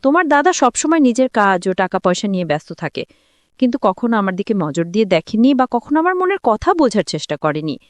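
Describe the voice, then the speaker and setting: A woman's voice: medium pitch, very animated, a little fast, dry recording, close to an everyday voice. A woman storyteller voicing a hurt, neglected young wife in a plaintive, emotional manner. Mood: hurt, pleading.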